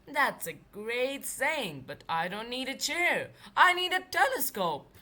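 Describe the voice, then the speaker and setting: A plucky girl reporter from a storybook, acted out by a woman. The voice is high and bright, with an insistent, emphatic eagerness that is ready to turn indignant. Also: sing-song, unhurried, exaggerated voice. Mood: eager.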